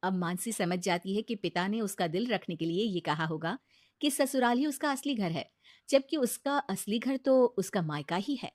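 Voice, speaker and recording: A woman's voice: mid-range voice, normal speed, darkish tone, no room sound, studio quality. A woman narrator reading a scripted bedtime moral story.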